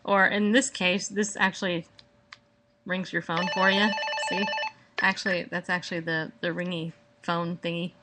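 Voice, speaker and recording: A woman hosting a casual solo podcast at home, chatting in a playful, rambling, animated way. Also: on the low side, moderate pace, very noisy. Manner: playful, rambling, acts out mock exchanges. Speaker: podcast host. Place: at home, indoors.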